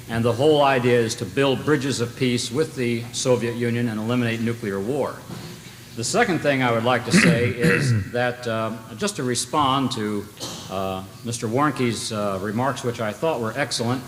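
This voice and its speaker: A man's voice: medium pitch, fairly bright tone. Earnest guy at the audience mic, lots of uhs, echoey hall, a bit of background noise. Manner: hesitant, with many uhs, earnest. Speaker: audience member commenting. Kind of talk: town hall comment from the floor. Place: large lecture hall. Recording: roomy hall with faint ambience, from the floor.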